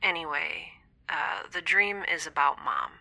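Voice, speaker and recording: An actress playing a lonely, wry young space scientist in an audio drama, confiding hesitantly and often dropping to a near-whisper over a log recording. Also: female voice, low-pitched, expressive, unhurried, creaky, sharp tone, exaggerated voice. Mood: pensive, uneasy. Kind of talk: scripted audio drama monologue, intimate dream-log recording.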